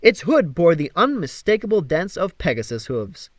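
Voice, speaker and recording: A man reading a fantasy novel aloud as an expressive, theatrical audiobook narrator. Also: on the high side, normal speed, no room sound, studio quality. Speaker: audiobook reader.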